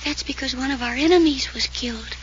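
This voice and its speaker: An actress playing a frightened, superstitious young woman who often drops to hushed whispers, in a 1940s radio drama on a dull old recording. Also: high-pitched, brisk, close to an everyday voice. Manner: scared, tense, hushed whispers. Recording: dull lo-fi old radio transcription with faint hiss.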